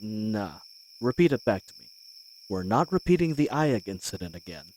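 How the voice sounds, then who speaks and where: Man acting a wry, animated bandit leader. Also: lowish voice, moderate pace, slight background noise, poor audio. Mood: hushed, commanding. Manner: folksy, colloquial, wry. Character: cocky, wary male bandit leader plotting a heist. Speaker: voice actor in a scripted roleplay audio. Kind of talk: scripted fantasy audio roleplay, addressing the listener.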